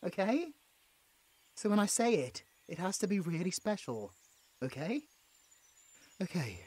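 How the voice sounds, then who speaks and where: A man's voice: high voice, big pitch swings, measured pace, darkish tone, breathy edge, full character voice. A British man playing a frightened, sarcastic, injured monster hunter in an audio roleplay, stammering as he placates his captor. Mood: subdued. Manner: stammering, pleading, with muttered sarcastic asides.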